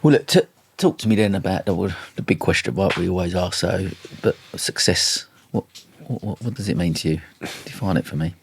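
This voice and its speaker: Husky low-voiced male podcast host. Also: normal speed, hardly any pauses, no echo. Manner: friendly, informal, says "mate" a lot. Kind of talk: casual interview chat.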